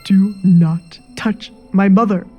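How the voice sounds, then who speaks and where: A woman's voice: mid-range voice, fairly even intonation, takes it slowly, slightly rough, dull audio. An honest butler, wronged and defensive, acted by a woman narrator in an audio drama. He speaks earnestly over background music. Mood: alarmed. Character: wronged, honest male butler, pleading and indignant.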